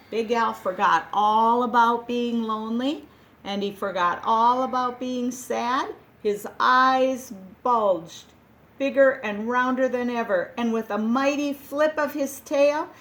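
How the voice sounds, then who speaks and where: A woman reading a children's picture book aloud, gentle and expressive, with dramatic pauses. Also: on the high side.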